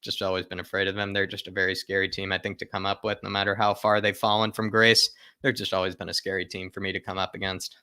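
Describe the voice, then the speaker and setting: A man's voice: low-pitched, little pitch movement, fast, hardly any pauses, bright, forceful tone, clean tone, no echo. A man hosting a football fan livestream, chatting casually and emphatically to viewers, often repeating his phrases for stress. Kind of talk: casual live fan chat, reading viewer comments aloud.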